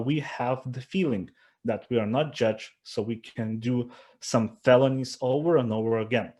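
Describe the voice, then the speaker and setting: A man with a Lithuanian accent giving an earnest startup pitch presentation, with frequent fillers and restarts. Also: a little mellow.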